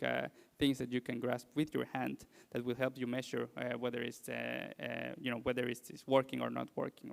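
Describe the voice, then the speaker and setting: A man's voice: mid-range voice, gravelly. A man from Mexico, a native Spanish speaker with a Mexican accent, presents to an audience as a conference speaker in a hesitant, conversational way, posing rhetorical questions. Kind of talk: public presentation.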